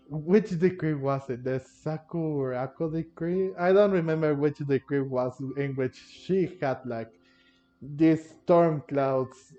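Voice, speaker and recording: A man's voice: medium pitch, mellow. A man giving casual, rambling commentary over a game playthrough, repeating himself as he goes. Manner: rambling, repetitive, chuckles between phrases. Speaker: gaming streamer. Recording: dull muffled mic, faint game music.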